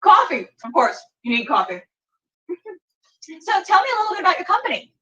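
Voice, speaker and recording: A woman hosting a show in a casual, exclamatory, excitable way. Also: very high-pitched, brisk, highs cut off. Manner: exclamatory, informal. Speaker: show host.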